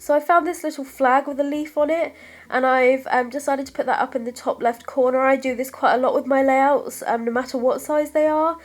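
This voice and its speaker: A woman's voice: sits high, steady tone, fairly quick. Bunged-up woman with a head cold. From United Kingdom. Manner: chatty, apologetic, lots of ums. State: congested from a cold, stuffy nose; short of breath, voice fading. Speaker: craft vlogger. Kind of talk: scrapbooking process voice-over.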